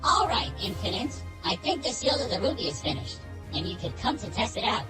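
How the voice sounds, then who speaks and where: A woman's voice: mid-range voice, big pitch swings, fairly quick, music in the background, lightly acted. A woman voicing a prim, dutiful robot in a fan comic dub, precise and dryly needling. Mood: businesslike.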